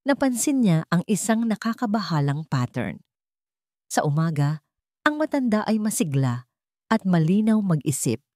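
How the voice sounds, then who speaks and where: A woman's voice: fairly low pitch, very animated, moderate pace, darkish tone, no echo, clean studio sound. A woman narrator reading a scripted story in a dramatic storytelling style.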